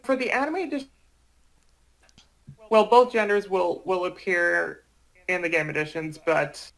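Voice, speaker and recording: A man explaining things in casual chat, haltingly, often repeating and correcting himself. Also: high voice, gravelly, a bit bright, low-quality recording.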